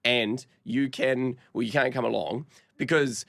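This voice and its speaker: A man playing a gravelly, fed-up teenage activist with a New Zealand accent. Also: mid-range voice, steady tone, measured pace, a bit bright, no echo, clean studio sound. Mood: rambling. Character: pompous teenage boy activist, theatrical and exasperated. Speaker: radio host in a skit.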